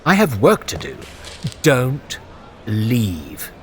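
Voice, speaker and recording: A man playing a patient, smooth-talking diplomat who teases his blunt friend wryly. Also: mid-range voice, huge pitch swings, very slow, slight background noise, exaggerated voice. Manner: wry, teasing, smooth-talking. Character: teasing, patient male aristocrat friend and diplomat. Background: music.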